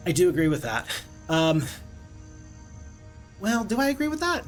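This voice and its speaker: A man's voice: high voice, wildly swooping pitch, moderate pace, soft background music. A man casually commenting on his strategy game stream, rambling and chatting to viewers. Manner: chatty, rambling, reads viewer chat aloud.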